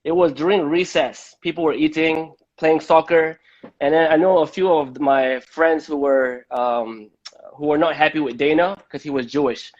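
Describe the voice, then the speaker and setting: A man's voice: on the high side, normal speed. A man from Malaysia hosting a casual live-stream interview, often repeating words before he goes on. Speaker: host of a live-stream debate. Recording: dull lo-fi live-stream audio.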